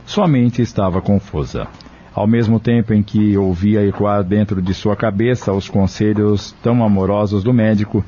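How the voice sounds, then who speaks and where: A man's voice: on the low side, warm tone, muffled recording, slight background noise. A man narrating a scripted dramatic story as the narrator of an audio drama.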